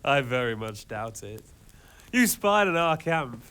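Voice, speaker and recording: An actor playing a scheming mercenary lord who taunts a captive with silky menace. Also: male voice, mid-range voice, very animated, a little slow, fairly bright tone, smooth. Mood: menacing. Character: smug scheming mercenary lord, adult man. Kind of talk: game cutscene acting.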